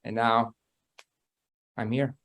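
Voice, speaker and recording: A man's voice: mid-range voice, little pitch movement, slow, fairly bright tone. A man from Peru, an estate agent on a webinar panel, talking in upbeat bursts and laughing easily. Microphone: video call.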